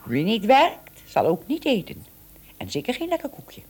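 A woman playing a stern but kindly good fairy, breathy and theatrical, in a children's audio story. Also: mid-range voice, huge pitch swings, normal speed, darkish tone. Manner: theatrical, admonishing.

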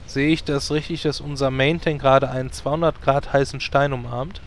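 A man playing a disillusioned adventurer with a deadpan, sarcastic delivery in a German fantasy parody audio drama. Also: mid-range voice, normal speed, slight background noise, close to an everyday voice. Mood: disbelieving. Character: dry, disillusioned raid adventurer.

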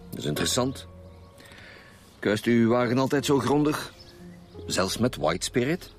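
An actor playing a stern, weary chief detective in a radio drama, with a Flemish accent and a formal, probing manner. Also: male voice, mid-range voice, lively intonation, measured pace, faint music behind. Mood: firm, formal. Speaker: lead police investigator. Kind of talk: naturalistic radio drama acting.

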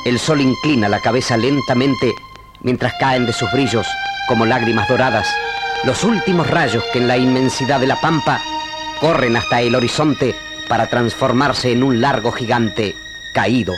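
A man narrates an old radio serial in a medium-pitched voice. His delivery is solemn, literary and declamatory, with prominent music behind him. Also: normal speed. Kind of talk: poetic scripted radio drama narration.

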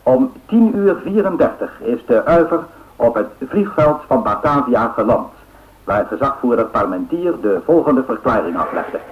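An actor playing a radio newsreader who reads 1930s air race bulletins in a formal, measured way. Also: male voice, medium pitch, very animated, slight background noise. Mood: formal, factual. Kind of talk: scripted radio news bulletin. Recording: narrow-band old radio recording.

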